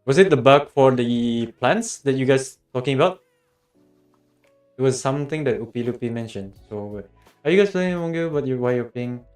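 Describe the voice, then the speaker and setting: A man's voice: mid-range voice, expressive, normal speed, darkish tone. A man from Australia hosting a livestream, chatting casually and directly with viewers as he gives game guidance. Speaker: livestream host and guide maker. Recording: music playing behind the voice. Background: background music.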